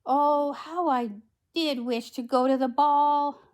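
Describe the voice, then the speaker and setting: Woman as a wistful, high-voiced young Cinderella. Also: steady tone, slow pace. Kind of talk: read-aloud storybook character voice.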